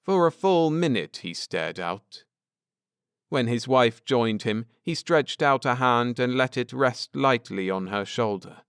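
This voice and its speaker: A man narrating a scripted audiobook story for adults at bedtime, in a measured, dramatic way. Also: mid-range voice, expressive, fairly bright tone, clear, resonant tone, no room sound, studio quality.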